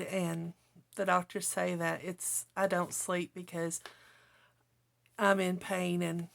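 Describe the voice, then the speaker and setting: A middle-aged woman hosts a craft vlog in a slow, creaky voice that sounds a little hoarse. She rambles along in a homely, casual way, as if chatting with her viewers. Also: on the low side. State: slightly hoarse. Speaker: host of a craft channel. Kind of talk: casual chat to viewers while journaling, partly reading own notes.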